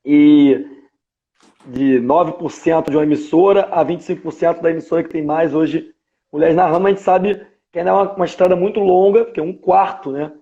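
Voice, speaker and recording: Brazilian man, stream host, mellow fairly high voice, muffled lo-fi audio. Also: steady tone, brisk. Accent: Brazilian Portuguese. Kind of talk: casual interview over a live stream.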